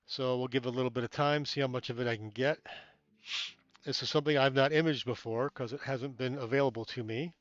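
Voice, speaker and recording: A man's voice: mid-range voice, steady tone, fast talker, no echo, dull audio. A man hosting a casual amateur astronomy live stream from inside a small tent, chatting with viewers, thanking them by name, rambling with many fillers, and a little stuffy-nosed.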